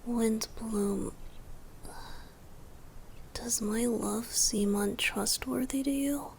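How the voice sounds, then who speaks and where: A woman voicing a playful, tender young male bard god in a romantic ASMR roleplay, soft and breathy, often whispering and gently teasing. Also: medium pitch, a little hiss or hum. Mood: tender, hurt.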